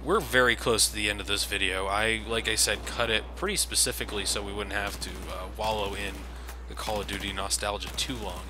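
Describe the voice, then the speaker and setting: Low-voiced man, sardonic game commentary. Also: sharp tone, a little hiss or hum. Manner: sardonic, complaining, sweary. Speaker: let's play commentator. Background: video game sounds.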